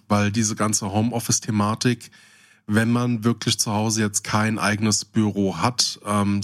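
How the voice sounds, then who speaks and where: A man with a German accent chatting casually as co-host of a podcast. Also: fairly low pitch.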